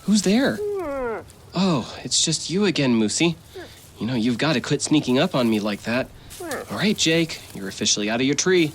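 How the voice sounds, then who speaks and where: A man's voice: mid-range voice, sing-song, moderate pace, voice pushed up, natural voice. A man playing a nerdy, anxious, overly dramatic young man who stammers and frets in a children's audio drama. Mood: jittery, muttering. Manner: stammering, nervous, exclaiming.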